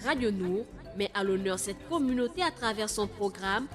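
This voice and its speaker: A woman's voice: mid-range voice, lively intonation. Woman reading a radio promo over music. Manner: measured, with echoed repeats of key words. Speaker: station jingle announcer.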